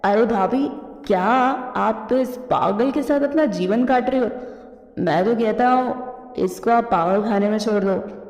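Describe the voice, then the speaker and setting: A woman's voice: fairly high pitch, unhurried, darkish tone. A woman voicing a sly male crow uncle in a cartoon story, wheedling with false sweetness. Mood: scornful. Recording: echoey reverberant studio track.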